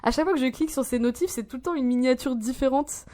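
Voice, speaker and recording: A woman's voice: high-pitched, fast, mellow. A woman in her twenties, rambling and swearing as she reacts to a video in casual slang. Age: 20s.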